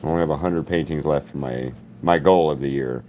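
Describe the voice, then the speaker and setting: A man with a deep voice, chatting casually with viewers while he paints on a livestream. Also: fairly quick, a little mellow, a little hiss or hum. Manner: rambling, answers viewers' chat by name. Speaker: artist hosting a livestream.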